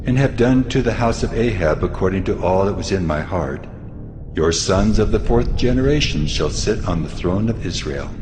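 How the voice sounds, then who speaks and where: Deep, reverberant voice of God acted by a man. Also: animated, normal speed, noisy background. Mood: grave, approving. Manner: stately, authoritative pronouncement. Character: God, majestic, grave, all-powerful male deity. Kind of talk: dramatized audio Bible acting. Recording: long cavernous reverb over music.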